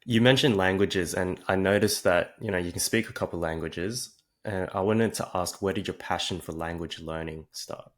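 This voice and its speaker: A man's voice: deep voice, normal speed. A man hosting a podcast, attentively asking long, thoughtful interview questions. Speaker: podcast host.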